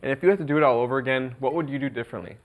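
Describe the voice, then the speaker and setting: A man's voice: mid-range voice, rapid-fire, low-quality recording. A man on a talk show reads audience questions aloud for the guest.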